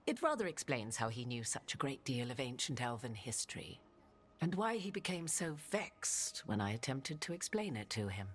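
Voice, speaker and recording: A woman's voice: rumbling low, sing-song, moderate pace, a little hiss or hum. A woman playing a regal, cryptic witch and arcane advisor in a video game, with formal, archaic, theatrical speech. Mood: wry, knowing. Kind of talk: video game dramatic acting.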